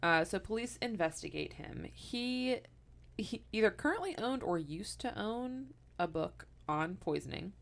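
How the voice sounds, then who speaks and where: A woman's voice: mid-range voice, animated, measured pace, vocal fry. A woman in her thirties telling a true-crime story to her co-host in casual podcast chat, laughing often and wandering into asides. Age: 30s.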